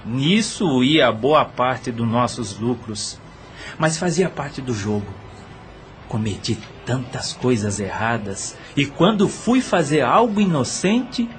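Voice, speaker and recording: A man's voice: mid-range voice, lively intonation, slow. A man playing a murdered brothel owner and killer who confesses matter-of-factly in an audio drama. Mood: subdued regret. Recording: faint background noise.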